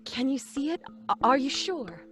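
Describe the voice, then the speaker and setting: A woman's voice: high-pitched, sing-song, moderate pace. An actress playing an anxious wife and mother in a live audio drama, uneasy and pleading, rising to panicked cries. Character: anxious adult wife Lydia, frightened mother. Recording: live stage recording with faint music.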